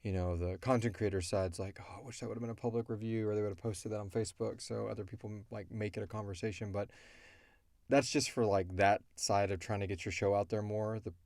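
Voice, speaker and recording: Low-voiced man, casual podcast host. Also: steady tone, quick pace, darkish tone, no echo, clean studio sound. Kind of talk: casual question-and-answer chat.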